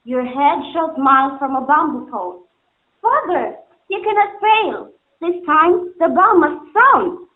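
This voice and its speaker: A woman's voice: high-pitched, animated, measured pace. A woman playing a devoted, innocent young singing girl in an earnest, poetic stage drama. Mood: pleading, resolute. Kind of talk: amateur stage-play dialogue, formal poetic English. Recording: muffled, phone-like narrowband sound.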